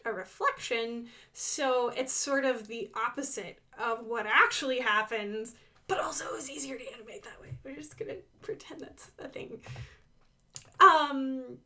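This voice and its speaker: A woman's voice: on the high side, bright, forceful tone. A woman giving casual, enthusiastic commentary on her own animation, playful, with sing-song asides and dramatic emphasis.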